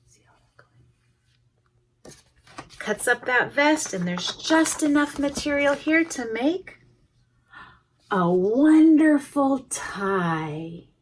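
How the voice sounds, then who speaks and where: A woman reads a children's storybook aloud in a highish voice at an unhurried pace. She is expressive and stops to chat about the pictures. There is faint noise with small clicks behind her. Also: rough recording. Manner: expressive, pausing to comment on pictures. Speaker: preschool educator reading a storybook. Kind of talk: read-aloud storytime with asides to young children.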